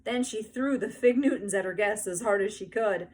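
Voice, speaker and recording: A woman narrating a children's book aloud in an expressive storytelling style. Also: mid-range voice, moderate pace, breathy edge. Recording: faint background noise.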